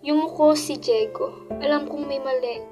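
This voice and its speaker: A woman's voice: high-pitched, normal speed, dark tone, soft background music. A woman with a Filipino accent playing a troubled, orphaned teenage girl who narrates her life in a radio drama. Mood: somber, reflective.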